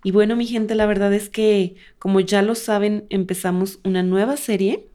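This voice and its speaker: A woman co-hosts a relaxed podcast with her husband, affectionate and teasing as she talks. Her pitch is ordinary for a woman, with a warm, dark tone. She is animated when she chats. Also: normal speed. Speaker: podcast co-host. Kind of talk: casual couple chat.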